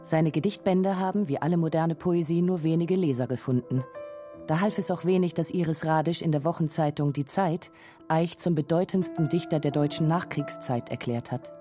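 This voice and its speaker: A woman's voice: deep voice, moderate pace, warm tone, faint music behind. A woman reading scripted narration for a radio documentary feature.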